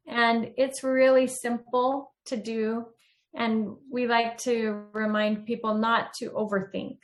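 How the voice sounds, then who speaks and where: A woman's voice: fairly high pitch, little pitch movement, takes it slowly. A woman as an interview guest, explaining steps in an instructive, encouraging way. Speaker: guest trainer. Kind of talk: interview answers.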